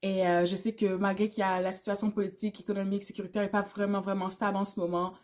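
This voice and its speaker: A chatty woman with a Québécois French accent, talking casually to her camera in a vlog. She sounds in her thirties, her voice sits at an ordinary pitch, and she drops English words into her talk. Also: flat delivery, quick pace, a little mellow. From Canada. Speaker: YouTuber.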